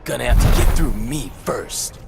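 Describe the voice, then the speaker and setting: A man's voice: medium pitch, animated, normal speed, gravelly. An actor playing a cocky, brash young male superhero trading fight banter in a video game. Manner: cocky trash-talk. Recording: voice under loud game music.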